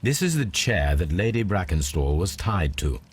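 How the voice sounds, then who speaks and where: An actor playing a composed, analytical Victorian English gentleman detective in a video game, musing precisely and deliberately. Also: deep voice, sing-song, a little hiss or hum.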